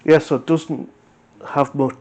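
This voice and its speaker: A man's voice: fairly high pitch, steady tone, a little slow, a little mellow, breathy edge. A man hosting a reaction video, giving a casual, unscripted review in a hesitant, rambling, matter-of-fact way. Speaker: YouTube reaction host.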